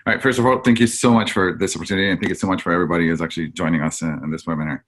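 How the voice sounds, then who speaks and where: A man's voice: low voice, steady tone, rapid-fire. A man from Argentina, a webinar guest telling his own story in a candid, rambling way with many fillers.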